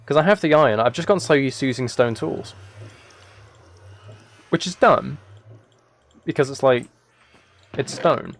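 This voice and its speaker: A man's voice: medium pitch, a little slow, pauses often, a little hiss or hum. A man giving casual gaming livestream commentary, thinking aloud and correcting himself as he chats with viewers. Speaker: live streamer.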